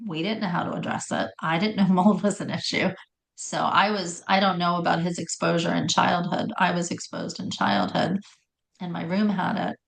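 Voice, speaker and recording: A middle-aged woman with a raspy voice telling her personal story in conversation, often stopping to correct herself. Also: lowish voice, little pitch movement, moderate pace. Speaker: guest telling health story.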